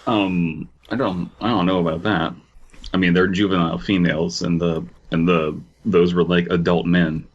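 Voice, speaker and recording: A man co-hosting a horror fiction podcast, reading aloud and chatting in a halting, giggly way. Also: sits low, level tone, muffled recording. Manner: halting, many fillers and restarts, giggly. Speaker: podcast co-host reading stories.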